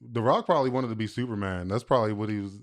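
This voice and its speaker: A man's voice: fairly low pitch, rapid-fire. An American man co-hosting a comedy podcast, chatting casually in profane slang. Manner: slangy, profane, says "bro" often. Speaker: podcast co-host.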